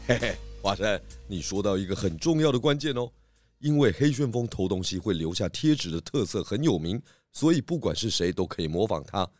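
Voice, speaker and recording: A man playing a shrewd pig detective in a children's audio drama, speaking in a deliberate, theatrical sleuthing way. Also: fairly low pitch, big pitch swings, darkish tone, no echo, clean studio sound. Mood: inquisitive. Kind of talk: children's radio drama acting. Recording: music behind the voice.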